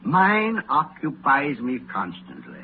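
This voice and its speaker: A man's voice: medium pitch, huge pitch swings, slow, creaky, narrow, tinny audio, exaggerated voice. An actor playing a miserly, irritable, cold-hearted old businessman in a 1930s radio drama, grumbling and contemptuous. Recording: noisy, band-limited 1930s radio recording.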